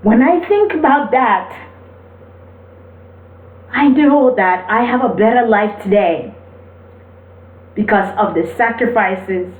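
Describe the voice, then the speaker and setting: A woman speaks in a slow, deliberate and encouraging way, mixing motivation with personal stories. Her pitch sits in the middle for a woman. The recording is thin and phone-like, with the mic at a distance. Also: roomy sound. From Cameroon. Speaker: motivational video host. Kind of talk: motivational talk with personal storytelling.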